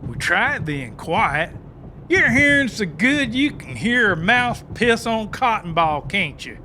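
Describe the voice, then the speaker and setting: A high, bright male voice, slow-paced, for a cocky country sidekick in an audiobook drama. He is folksy, crude and blustering. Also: big pitch swings, slight background noise, exaggerated voice. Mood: boastful.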